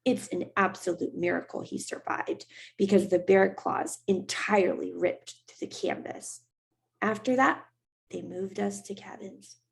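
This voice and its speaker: A woman's voice: medium pitch, steady tone, unhurried, gravelly. A woman reading aloud and voicing a reserved, somewhat haughty young girl. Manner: cool, slightly haughty.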